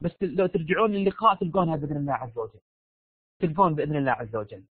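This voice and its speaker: Male Saudi online lecturer, high-pitched and quick, tired strained voice, informal, repetitive, prodding. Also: husky. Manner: informal, repeats phrases, prods listeners for answers. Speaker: university lecturer. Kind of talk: live online lecture, questioning students. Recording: dull lo-fi online audio, roomy echo.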